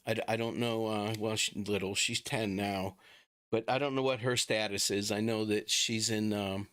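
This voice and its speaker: A man's voice: fairly low pitch, fairly even intonation, a bit bright, clear, resonant tone. Boston-accented man hosting a casual livestream. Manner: informal, chatty, halting. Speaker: livestream host. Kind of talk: casual livestream talk to viewers.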